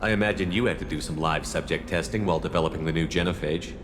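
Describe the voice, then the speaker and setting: An actor playing a direct, probing human military commander in video game dialogue. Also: male voice, deep voice, quick pace, background noise, close to an everyday voice. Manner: direct, questioning.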